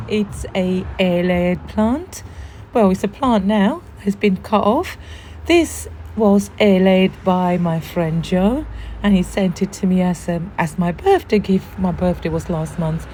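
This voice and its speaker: A woman with a hoarse, worn voice from the flu, chatting casually and warmly as host of a gardening vlog, with frequent ums. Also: medium pitch, a little hiss or hum. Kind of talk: casual show-and-tell vlog.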